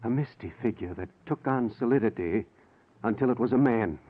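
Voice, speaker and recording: An actor playing an anxious, conscience-stricken scientist with an earnest, grave manner in 1940s radio drama style. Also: male voice, medium pitch, fairly quick, phone-quality sound, close to an everyday voice. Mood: grave narration. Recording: noisy, band-limited 1940s radio recording.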